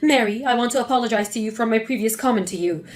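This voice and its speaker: A woman voicing a spiteful older mother-in-law who lectures and sneers, with a lowered voice. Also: quick pace, faint music behind, natural voice. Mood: stiffly apologetic. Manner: scornful, lecturing.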